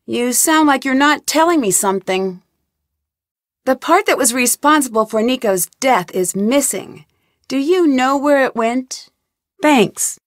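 A woman's voice: sits high, lively intonation, unhurried, no echo, studio quality. A woman voicing a young woman detective in game voice lines, asking earnest, inquisitive questions in a polite tone. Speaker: voice actor. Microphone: studio mic, dry.

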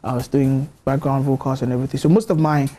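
A man in his thirties with a Ghanaian accent, a gospel musician guest talking in a TV studio interview, hesitant and soft-spoken, often repeating words as he thinks. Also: mid-range voice, steady tone, fast. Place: bright TV studio.

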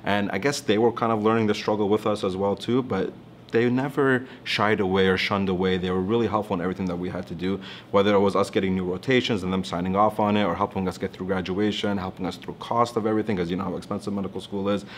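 Man, 30s, from Toronto; low, reflective, earnest; seated studio testimonial with slight background noise. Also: fairly even intonation, quick pace. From Toronto, Canada. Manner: earnest, reflective, gestures while talking. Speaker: alumnus physician. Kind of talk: interview testimonial. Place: studio with branded backdrop, indoors.

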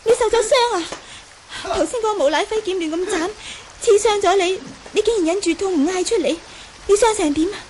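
An actress playing a timid, innocent young Buddhist nun in a Hong Kong Cantonese radio drama, hesitant, anxious and pleading. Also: female voice, very high-pitched, a little fast. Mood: worried, pleading. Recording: faintly noisy 1980 radio drama.

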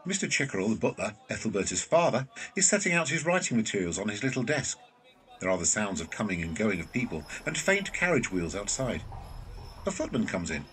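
A man's voice: on the low side, sing-song, brisk, fairly bright tone. A man narrating an audio drama, reading out scripted stage directions. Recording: distant mic, faint ambience.

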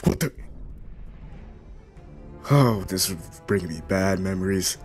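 A man with a fairly low voice ad-libs a goofy, deadpan dub over a cartoon. It is an amateur, improvised comedic voice-over, with music playing underneath. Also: slow pace. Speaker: amateur fan dubber.

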